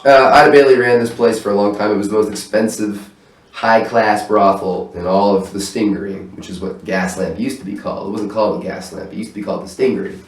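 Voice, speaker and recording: A man's voice: on the low side, steady tone, quick pace. A man talking loosely in a casual interview, swearing freely and laughing often, in his own apartment room. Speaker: stage manager interviewed as guest. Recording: roomy echo, voice far from mic.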